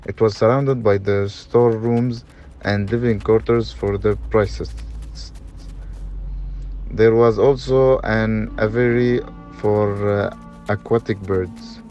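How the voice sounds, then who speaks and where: Mellow low-voiced man narrating, muffled sound. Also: steady tone, soft background music. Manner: halting reading with stumbles. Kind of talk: scripted documentary narration read aloud. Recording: dull, lo-fi sound.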